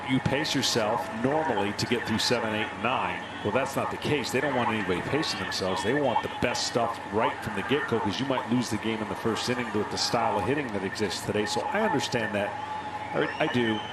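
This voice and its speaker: A man's voice: on the low side, brisk. A man working as a color analyst on a baseball broadcast, explaining the game and repeating points for emphasis. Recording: roomy sound with very loud background noise.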